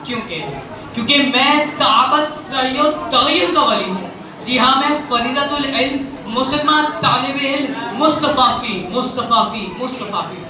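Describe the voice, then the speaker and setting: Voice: sits high, unhurried, a crowd in the background. A student speech contestant with a Pakistani accent delivers a fiery, poetic declamation in a theatrical, rhetorical manner, repeating phrases for emphasis. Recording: very echoey, distant mic, noisy venue recording.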